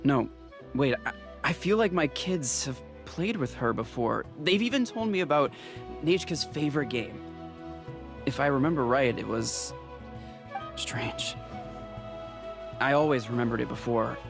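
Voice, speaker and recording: A man voicing a worried delivery man and father who rambles and trails off, confused, over soft game music. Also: mid-range voice, expressive, measured pace, vocal fry, long pauses.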